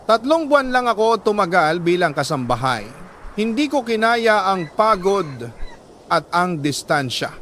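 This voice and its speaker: A man with a Filipino accent reads a letter as a dramatic first-person story, in a measured, sentimental way with drawn-out phrases. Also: sits high, very animated, a bit bright, a little hiss or hum. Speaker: radio-style drama storyteller.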